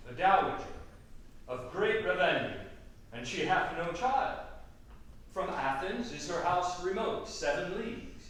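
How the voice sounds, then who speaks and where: A man playing an ardent young lover, declaiming Shakespearean verse emphatically in drawn-out phrases at a staged reading. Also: sits high, fairly bright tone. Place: echoey room at a theatre camp reading. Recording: cavernous echo, distant mic, faint noise.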